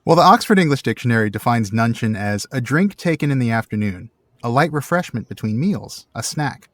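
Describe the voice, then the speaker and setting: A man's voice: mid-range voice, lively intonation, a little fast, no room sound, studio quality. A man co-hosting a podcast, talking casually and playfully, with a bookish bent for explaining words. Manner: playful, jokey. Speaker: podcast co-host. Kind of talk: casual banter and word-history explanation.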